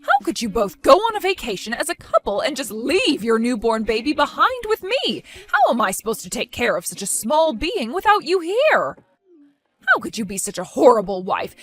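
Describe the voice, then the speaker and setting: A woman voicing an indignant older woman who scolds and accuses in a lively, high voice. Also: normal speed, few pauses, full character voice. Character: furious indignant older woman caring for a baby.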